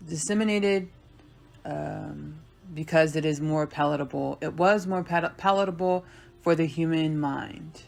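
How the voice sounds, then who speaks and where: Slow, low-voiced woman musing. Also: vocal fry, a little mellow, echoey room. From Texas. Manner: slow, halting, meditative delivery with long pauses. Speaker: podcast host. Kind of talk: spiritual monologue.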